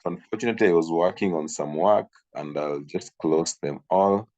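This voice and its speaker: A man from Kenya teaches a class over a video call. His voice sits very low. He talks haltingly, with fillers and repeated words. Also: a little mellow. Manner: hesitant, repeats himself, frequent fillers. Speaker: instructor. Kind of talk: online training session.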